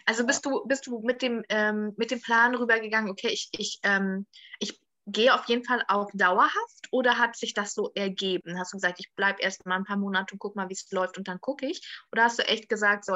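Interviewer host, woman, crisp bright tone, dry close mic, brisk curious questions. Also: medium pitch, steady tone, no echo. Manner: upbeat, curious, quick questions. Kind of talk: casual podcast interview.

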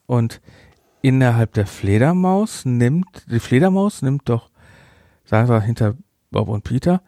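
A man's voice: medium pitch, expressive, a little slow, mellow, no room sound, clean studio sound. A man co-hosting a podcast, chatting casually in a review, hesitant with many fillers and restarts. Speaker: podcast co-host.

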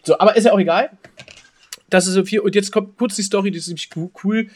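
A man's voice: high-pitched, steady tone, quick pace. A man co-hosting a podcast, telling stories and bantering casually in a teasing, rambling way with repeated words. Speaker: podcast co-host.

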